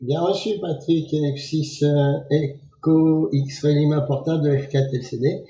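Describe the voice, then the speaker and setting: A man working as an amateur radio operator, speaking casually and spelling out call signs in a measured way. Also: on the high side, steady tone, reverberant, muffled recording, over music. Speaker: amateur radio operator filming for his channel.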